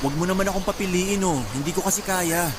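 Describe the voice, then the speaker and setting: A man's voice: high-pitched, fast talker, heavy background noise, lightly acted. A man with a Filipino accent acting a weak-willed, self-justifying cheating husband in a radio drama. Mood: pleading. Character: weak-willed, defensive unfaithful husband, pleading and stubborn. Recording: loud background music under the voice.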